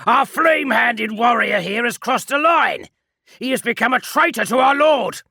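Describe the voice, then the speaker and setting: A man voicing a tense, bloodthirsty space marine who shouts and relishes cruelty. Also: very high voice, lively intonation, moderate pace, dry recording, studio quality, pitched above the performer's own voice, heavily acted. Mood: gloating.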